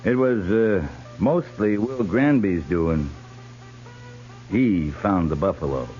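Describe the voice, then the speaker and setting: An actor playing a steady, measured cavalry captain in a 1950s western radio drama. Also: male voice, sits low, soft background music. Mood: reporting, dry. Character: steady, dry-humored male US cavalry captain on the frontier. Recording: noisy, dull 1950s radio recording.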